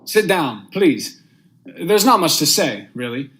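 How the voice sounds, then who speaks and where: A man's voice: a little high, very animated, slow pace, fairly bright tone, slight background noise, exaggerated voice, rough recording. A young man acting a stern judge and narrator in a vintage radio drama, speaking formally and with measure. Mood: polite, controlled. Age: young adult. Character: stern, unmoved male judge, husband and brooding narrator.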